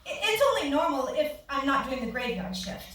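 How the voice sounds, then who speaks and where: A woman on stage playing a friendly, bookish nurse on a date, speaking in an eager, halting way in a staged play reading. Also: high voice, animated, a bit bright. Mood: playful. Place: theatre stage, recorded from the audience. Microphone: far camera. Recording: echoey theatre, distant camera, faint noise.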